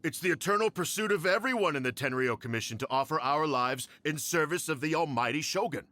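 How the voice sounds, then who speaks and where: A scheming court official, fawning and evasive, who stammers whenever pressed, from a video game. His voice is fairly high and bright, swinging widely in pitch. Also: expressive, a little fast. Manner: nervous, stammering, ingratiating.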